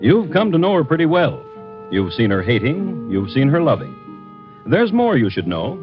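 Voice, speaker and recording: A man narrating a scripted true-crime radio drama as host, with a wry, dramatic, deliberate storytelling manner. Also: on the high side, wildly swooping pitch, over music. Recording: dull vintage radio recording.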